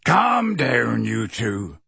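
Man reading gruff giant gamekeeper, blunt, testy, stubborn; husky, dry mic. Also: mid-range voice, very animated, drawn-out words, bright, forceful tone, no echo, full character voice. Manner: testy, defiant, outspoken.

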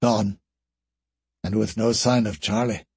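A man voicing a calm male ranking officer with a quiet, measured delivery in an audiobook. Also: on the low side, breathy edge, dry recording, full character voice. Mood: subdued.

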